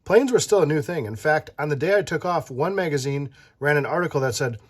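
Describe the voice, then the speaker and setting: An adult reading a children's picture book aloud, playful and animated, stopping to chat with the child listening. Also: mid-range voice, brisk. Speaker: read-aloud storyteller.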